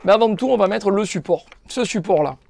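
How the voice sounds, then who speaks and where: Higher-voiced man with gravelly creak. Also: expressive, brisk, a little mellow. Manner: explanatory, informal, addressing viewers directly. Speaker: shop staff presenting a tutorial. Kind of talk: step-by-step hands-on product tutorial.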